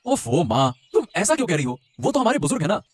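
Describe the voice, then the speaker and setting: A man's voice: high voice, sing-song, fast talker, slightly rough, full character voice. A man voicing an earnest young husband in a Hindi cartoon, reasoning and pleading with hesitant insistence. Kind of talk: Hindi moral-story cartoon voice acting. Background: music.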